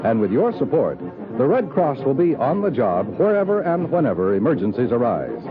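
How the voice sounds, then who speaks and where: A man's voice: mid-range voice, wildly swooping pitch, moderate pace. Old time american radio announcer guy, super swoopy delivery, music behind, tinny. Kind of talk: 1950s radio announcing, scripted.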